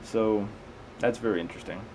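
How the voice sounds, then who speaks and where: A man's voice: lowish voice, fairly even intonation, a little fast, darkish tone, slight background noise, rough recording. A man reading scripture aloud, stumbling over unfamiliar words and dropping in casual, conversational comments.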